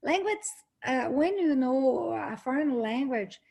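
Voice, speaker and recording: A woman speaking English, a guest in a casual podcast interview, earnest and emphatic with halting restarts. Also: sits high, expressive, vocal fry, darkish tone, slightly rough. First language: Portuguese. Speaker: guest, lawyer and author.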